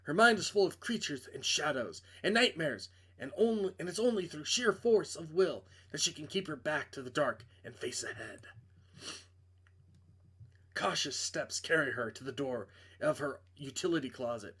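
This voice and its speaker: A man's voice: fairly high pitch, animated, moderate pace, pushed and bright, slightly rough. A man reading a visual novel aloud as dramatic narration, with casual asides when he stumbles and corrects himself.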